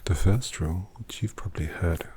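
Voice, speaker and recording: A performer in an ASMR roleplay voicing a suave, possessive vampire painter who dotes on a captive in a breathy whisper, slowly and with menacing tenderness, close to the microphone. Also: low-pitched, dark tone, slight background noise, lightly acted. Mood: hushed, confiding. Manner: slow, deliberate, indulgent, menacingly tender.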